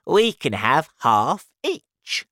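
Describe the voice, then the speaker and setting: A reader voicing a greedy, loud, bossy old aunt in a storybook reading, bellowing in slow drawn-out words. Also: medium pitch, huge pitch swings, no room sound, clean studio sound, voice pushed up, exaggerated voice.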